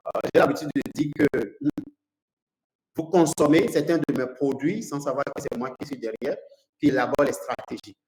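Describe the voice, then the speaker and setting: A man from Nigeria whose first language is English, a consultant and coach giving a seminar to a live audience, speaking haltingly with many fillers and repeated words. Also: on the high side, a little mellow, roomy sound, a little hiss or hum, rough recording.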